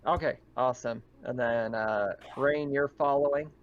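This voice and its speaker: A man's voice: mid-range voice, moderate pace. A man acting as game master, talking casually as he runs a tabletop roleplaying game. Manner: casual, conversational. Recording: dull, lo-fi mic with faint noise.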